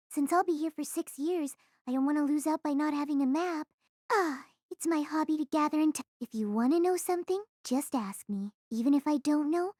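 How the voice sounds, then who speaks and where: A woman's voice: high-pitched, a little mellow, dry recording, clean studio sound, natural voice. A woman playing a chatty, bossy, cheerful teenage schoolgirl in an anime-style audio drama, perky and teasing.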